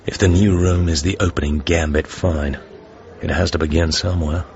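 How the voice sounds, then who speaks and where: An actor playing a cool, worldly British secret agent in a radio drama, with an English accent and a laconic, wry manner. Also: male voice, low-pitched, fairly even intonation, moderate pace, darkish tone, dull audio. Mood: cool, resolute.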